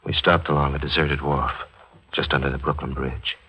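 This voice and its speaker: A man's voice: a bass voice, little pitch movement, pushed and bright, husky, full character voice, low-quality recording. An actor playing a tormented, guilt-haunted man in a 1940s radio thriller, narrating and speaking with brooding intensity. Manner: brooding, intense, halting in dialogue. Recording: 1940s radio recording with music behind.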